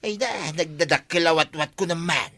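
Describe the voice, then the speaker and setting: Sharp-voiced, food-greedy village man. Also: on the high side, normal speed. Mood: greedy, chatty. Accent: Ilocano. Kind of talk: Ilocano radio comedy drama acting. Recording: music under the dialogue.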